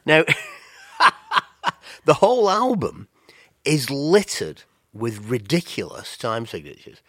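A man's voice: mid-range voice, huge pitch swings, slow, fairly bright tone, husky, no echo, clean studio sound. A man with a cold and a nasal voice, chatting casually about music as a podcast co-host, rambling with restarts. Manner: rambling, with many restarts and fillers.

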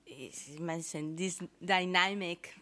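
Argentine-born woman, kinda low voice, halting english, laughs a lot. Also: bright, forceful tone. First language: Spanish. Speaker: guest. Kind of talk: casual chat.

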